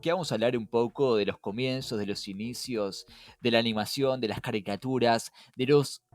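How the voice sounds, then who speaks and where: A man's voice: medium pitch, normal speed. A young man with a Rioplatense Spanish accent, co-hosting a conversational podcast in an emphatic way, putting questions straight to the listener. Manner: emphatic, rhetorical questioning, addresses listener directly. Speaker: podcast co-host. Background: background music.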